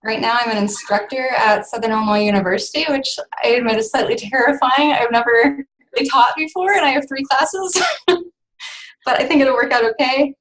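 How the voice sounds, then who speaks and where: A woman doctoral student introducing herself to a class over a video call, steady and matter-of-fact. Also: sits high, normal speed, poor audio.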